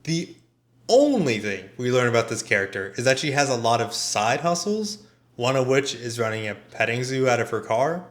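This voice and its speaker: A man's voice: mid-range voice, expressive, moderate pace. A man delivering a scripted, comedic movie review with a sarcastic manner. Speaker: video essayist and film reviewer.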